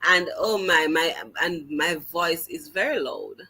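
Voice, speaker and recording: Jamaican woman guest, teacher, unhurried earnest storytelling with a bright, forceful tone. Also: sits low, lively intonation, husky. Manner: earnest, halting restarts, slips into patois. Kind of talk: conversational storytelling in an interview.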